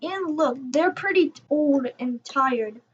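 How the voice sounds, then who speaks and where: A woman with a high voice gives casual commentary on a story. She speaks haltingly, as if thinking aloud, through a slightly dull, lo-fi microphone. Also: expressive, slight background noise. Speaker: commentator reacting to a story video.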